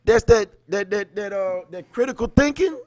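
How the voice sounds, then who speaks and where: A man's voice: high-pitched, expressive, normal speed, darkish tone, no echo. Informal man livestreaming, close dry sound. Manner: informal, slangy, greets viewers by name mid-thought. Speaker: livestream host. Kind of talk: casual livestream chat with read-aloud passages.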